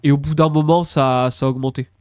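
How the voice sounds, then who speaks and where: A man's voice: mid-range voice, steady tone, a little fast, smooth, no room sound, studio quality. A young man with a congested nose, chatting casually and hesitantly as he streams a game. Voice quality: congested, blocked-nose sound. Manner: hesitant, repeats words, mild swearing. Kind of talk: casual live stream chat over gameplay.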